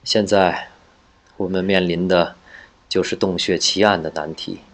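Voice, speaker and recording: Legal official played by a man: deep, slow, restrained intonation, stern and deliberate, radio play. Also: steady tone, a bit bright, full character voice. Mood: solemn, stern. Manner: deliberate, weighty.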